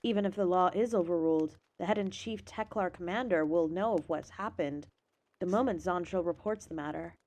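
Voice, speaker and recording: A woman playing a composed noblewoman councillor who speaks in a measured, matter-of-fact way. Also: fairly low pitch, a little mellow, natural voice.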